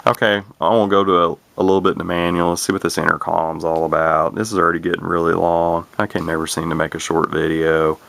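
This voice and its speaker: A man giving a casual, unscripted radio demo in a folksy, rambling way, thinking out loud as he goes. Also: low voice, little pitch movement, fast talker, gravelly, few pauses, slight background noise. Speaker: hobbyist demonstrating a CB radio.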